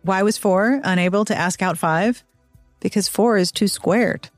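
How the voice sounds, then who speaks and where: A woman's voice: mid-range voice, measured pace, no echo, soft background music. A woman hosting a podcast, reading outros and sponsor copy to her listeners. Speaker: podcast host. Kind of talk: podcast outro and host-read ad.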